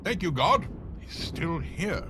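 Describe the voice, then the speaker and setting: A man playing a hesitant, obliging male detective's sidekick in a live radio drama, halting and theatrical. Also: a little high, very animated. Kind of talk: live cold-read recreation of 1940s radio drama. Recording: live stage recording with clear background noise.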